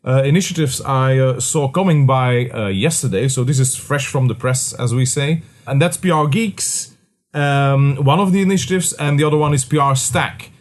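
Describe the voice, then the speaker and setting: A man hosting a podcast interview, relaxed and full of filler words. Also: medium pitch, moderate pace. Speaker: podcast host. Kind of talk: casual interview.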